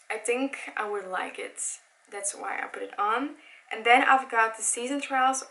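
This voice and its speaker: A woman's voice: a little high, fairly bright tone, rough recording. Chatty young Dutch-speaking woman. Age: 20s. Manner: chatty, enthusiastic, with frequent self-corrections and "like". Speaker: book vlogger. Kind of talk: casual chat. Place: home room by a bookshelf.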